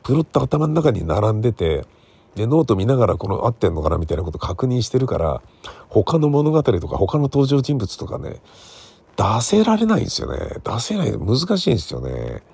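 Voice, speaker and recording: A man's voice: mid-range voice, very animated, fairly quick, slightly rough, no room sound. A middle-aged man hosting a solo podcast in a casual, rambling, conversational way, recorded on a smartphone. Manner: rambling, conversational, seeking agreement with soft sentence endings. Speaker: podcast host and radio drama writer.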